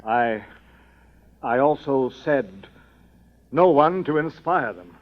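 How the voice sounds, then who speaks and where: An actor playing an anxious, deferential chamberlain, urgent and pleading, in an old-time radio drama. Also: male voice, medium pitch, animated, slow. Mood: alarmed, pleading. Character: anxious, deferential court chamberlain bearing bad news. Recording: muffled old radio recording.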